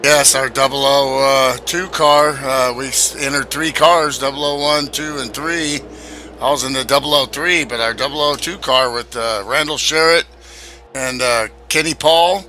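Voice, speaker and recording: A man co-commentating a sim race he also drives in, relaxed and hesitant with fillers. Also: medium pitch, a little slow, a little hiss or hum. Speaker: co-commentator and racer. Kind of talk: sim racing broadcast commentary.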